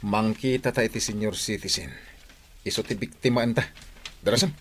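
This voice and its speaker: An actor playing a furtive accomplice thief in an Ilocano radio drama. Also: male voice, on the low side, normal speed, fairly bright tone, a little hiss or hum, close to an everyday voice. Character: furtive accomplice thief plotting with his buddy.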